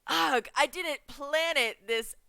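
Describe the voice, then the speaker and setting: A young woman chatting in an excited fan reaction vlog, giggling, making puns and drifting off track. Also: high voice, takes it slowly, creaky, dry recording, clean studio sound. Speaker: vlogger reviewing a cartoon episode.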